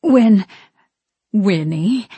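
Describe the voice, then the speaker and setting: A nervous witch from a storybook, voiced by a female narrator, eager to be heard but afraid to push. Her speech is hesitant, then hurried and pleading, lifted a little above a plain middle pitch. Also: very animated, darkish tone, husky, dry recording. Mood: timid.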